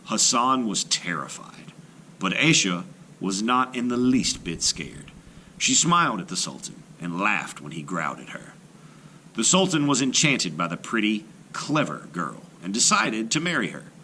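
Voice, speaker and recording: A man's voice: fairly low pitch, big pitch swings, a little slow, sharp tone, a little hiss or hum, low-quality recording. A man reading a children's folktale aloud as a storyteller, in a scripted narration. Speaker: storyteller, art teacher.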